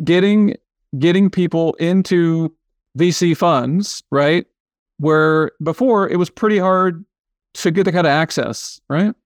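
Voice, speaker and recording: Man, podcast host; relaxed and halting, asks "right?" a lot, a little higher voice, dry close recording. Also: dry recording. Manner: casual, hesitant, many restarts and tag questions. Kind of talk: casual interview chat.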